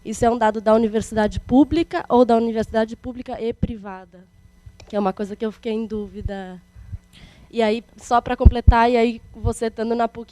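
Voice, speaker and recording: A woman's voice: on the high side, dark tone, no echo. A woman with a Brazilian accent casually putting questions to a speaker at a public discussion. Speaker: audience member asking questions.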